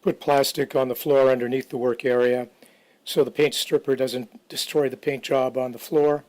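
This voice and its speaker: Man with an even, barely varying pitch. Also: normal speed. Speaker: DIY restoration video narrator. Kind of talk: casual how-to narration.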